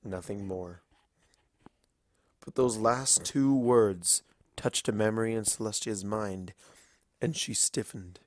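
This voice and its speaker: A man narrating dramatic fiction in a grave, deliberate storytelling style, with weighty pauses. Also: fairly low pitch.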